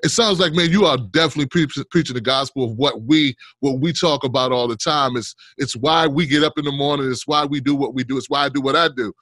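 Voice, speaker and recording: A middle-aged man hosts a political interview in an informal, conversational way. His medium-pitched voice sounds dry and close on a studio microphone, and he tends to repeat his phrases. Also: quick pace, few pauses, fairly bright tone, no room sound. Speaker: show host, interviewer. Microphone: desk studio microphone, close.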